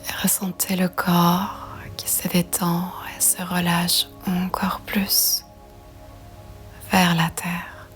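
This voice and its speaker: Female meditation guide, hushed and breathy, very even low-set delivery, gentle and soothing. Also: slow pace, soft background music. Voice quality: soft, breathy, half-whispered. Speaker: yoga nidra guide.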